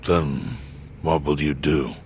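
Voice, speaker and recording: An actor playing a grave, weary elderly yakuza chairman in a dramatic video game, speaking in a measured, solemn way with a gravelly voice. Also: a bass voice, fairly even intonation, slight background noise.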